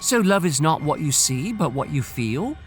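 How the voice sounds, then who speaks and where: A man's voice: on the high side, very animated, moderate pace, faint music behind. Man voicing an earnest young wandering philosopher. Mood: earnest questioning. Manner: earnest, questioning.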